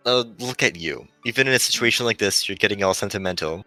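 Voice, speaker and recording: A man voicing a gentle, melancholy female shuttle AI, earnestly explaining as it fades in a visual novel. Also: lowish voice, fast talker, dry recording, faint music behind, low-quality recording. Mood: resigned, tender.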